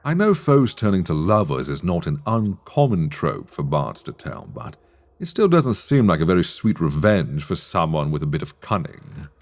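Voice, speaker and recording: A man acting a sly, teasing master of a magic academy in a dark fantasy ASMR roleplay, speaking in measured, wry, formal phrases. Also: low voice, sing-song. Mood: puzzled, amused.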